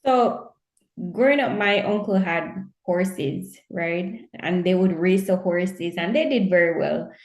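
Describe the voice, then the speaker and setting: Jamaican woman host, friendly and chatty, mid-pitched, echoey room sound. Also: slow. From Kingston, Jamaica. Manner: friendly, chatty, laughs often. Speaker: podcast host. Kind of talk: casual interview chat.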